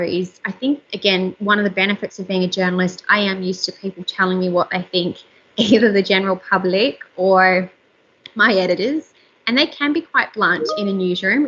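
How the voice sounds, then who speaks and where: A woman in her thirties, an author and journalist, chatting candidly with a slightly squeaky voice from a room at home over a video call. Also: fairly bright tone, highs cut off. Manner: chatty, candid, frequent fillers. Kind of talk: casual interview chat.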